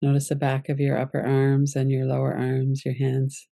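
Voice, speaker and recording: A woman teaching yoga nidra, leading a guided relaxation meditation in a calm, gentle, unhurried way. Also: a bass voice, gravelly, no echo, clean studio sound.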